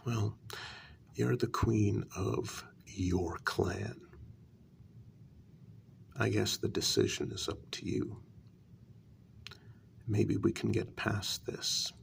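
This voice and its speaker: Hushed, gravelly man acting as a wounded male vampire. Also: fairly low pitch, steady tone, slight background noise, full character voice. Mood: resigned. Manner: hushed, deliberate, breathy half-whispers with pointed questions. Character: wounded, bitter male vampire confronting his queen. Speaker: ASMR role-play performer. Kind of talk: soft-spoken ASMR role-play, dramatic monologue.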